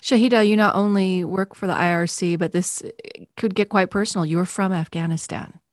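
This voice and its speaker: Woman news host, measured, slightly low, dry studio sound. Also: steady tone, no room sound. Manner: measured, clear questioning. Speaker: news interviewer. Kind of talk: television news interview.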